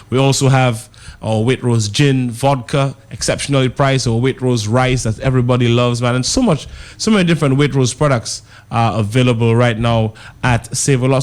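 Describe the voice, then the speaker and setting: Relaxed man, chatty radio guest, plain middle voice. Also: medium pitch. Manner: relaxed, chatty, says "man" a lot, light laughs. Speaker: guest representing a supermarket. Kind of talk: casual radio chat.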